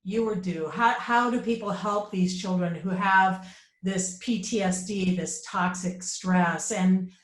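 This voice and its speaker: A woman's voice: medium pitch, steady tone, echoey room. A woman moderating a live online panel, asking questions with frequent restarts and "uh". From United States, parents from Argentina. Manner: warm-up questions with halting restarts. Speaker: moderator and journalist. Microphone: video call.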